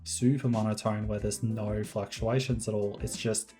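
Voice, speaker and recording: A man hosting his own Minecraft building videos, chatting casually in a rambling, monotone way and laughing often. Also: normal speed, soft background music. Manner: monotone, fairly even pitch. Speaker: Minecraft builder and channel host. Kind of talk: casual gameplay commentary and voiceover.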